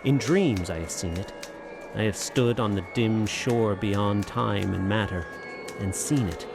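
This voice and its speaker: A man's voice: on the low side, measured pace, vocal fry, music in the background. An actor playing a grave, haunted, learned man in a radio drama, reciting dramatically.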